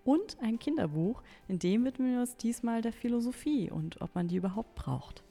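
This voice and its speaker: A woman's voice: fairly high pitch, animated, moderate pace, creaky, dark tone. A woman hosting a book podcast for young listeners, presenting in a conversational style. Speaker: podcast host. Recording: long reverb, music behind.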